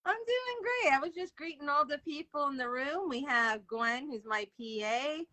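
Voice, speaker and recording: A woman's voice: high voice, expressive, normal speed, sharp tone. A woman hosting an author interview livestream, chatting casually and informally with viewers as she goes.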